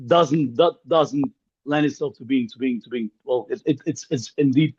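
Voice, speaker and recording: A man's voice: medium pitch, moderate pace, darkish tone, slightly rough. A man whose first language is Ukrainian, joining a workshop discussion on a video call, hesitant and restarting his phrases often. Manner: hesitant, many restarts and repeated words. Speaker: workshop participant. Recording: muffled lo-fi call audio.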